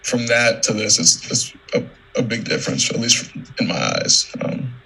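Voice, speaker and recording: A young man, an artist, chatting casually in a halting, informal, modest way over a video call. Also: medium pitch, creaky, sharp tone, slightly rough, low-quality recording. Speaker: artist presenting work. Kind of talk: casual chat.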